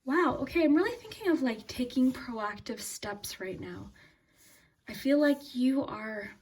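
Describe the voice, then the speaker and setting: Mellow, high-pitched woman reading tarot. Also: animated, a little slow, breathy edge. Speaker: tarot reader and channel host. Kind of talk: casual, unscripted tarot reading to camera.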